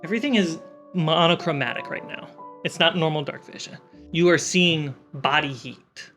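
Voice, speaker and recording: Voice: medium pitch, normal speed, fairly bright tone. A game master narrating a tabletop roleplaying game, describing scenes piece by piece. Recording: background music under the voice. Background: music.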